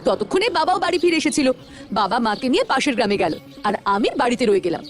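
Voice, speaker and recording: A woman's voice: high voice, sing-song, fast, faint music behind. A woman voicing a worried, cautious village boy in a cartoon, speaking in an earnest, explanatory way. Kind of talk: Bengali village cartoon dialogue.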